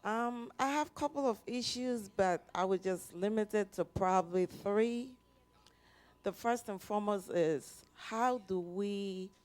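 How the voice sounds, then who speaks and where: A woman from Liberia, asking a panel an earnest, deliberate question at a forum. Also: fairly low pitch, darkish tone. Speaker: audience member asking a question. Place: conference room.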